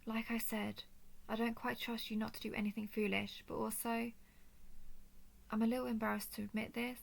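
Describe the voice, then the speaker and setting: Noble dragon lady, played by a woman: solemn, earnest, soft-spoken, flat melody, touch of creak, intimate roleplay monologue. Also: a little high. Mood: tender, soothed. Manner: formal, measured, earnest speech. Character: noble female dragon of a ruling house, gentle, solemn.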